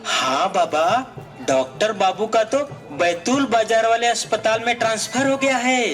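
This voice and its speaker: A man's voice: very high voice, measured pace, fairly bright tone, soft background music. A man playing a dutiful, agreeable village family man in a puppet show, speaking respectfully.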